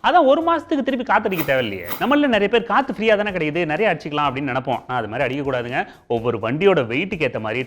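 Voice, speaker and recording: A man's voice: a little high, fairly bright tone. A man with a Tamil Nadu accent hosting an informational TV show, explaining things conversationally and speaking directly to viewers. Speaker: TV show host. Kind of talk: informational presenting in colloquial Tamil with comic asides.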